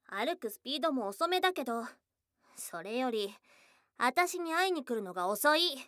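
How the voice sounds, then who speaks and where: A woman voicing a shy, affectionate young goblin girl in a Japanese ASMR voice drama, with casual tomboyish speech and a soft, hesitant delivery. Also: high voice, sing-song, moderate pace, dry recording, clean studio sound. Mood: pouty. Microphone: close studio mic, dry.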